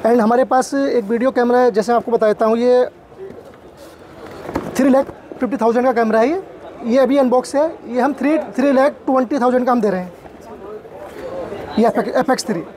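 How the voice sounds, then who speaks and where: A man in his thirties pitching camera gear as a salesman, listing products and prices in a quick, repetitive way. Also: a crowd in the background. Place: busy indoor exhibition hall.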